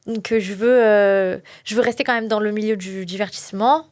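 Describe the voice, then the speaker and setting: A woman in her late twenties, a reality TV contestant, chatting in an interview and often pausing with fillers. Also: medium pitch, steady tone, a little mellow, no room sound, clean studio sound. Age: late 20s. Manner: chatty, frequent hesitations. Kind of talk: casual interview.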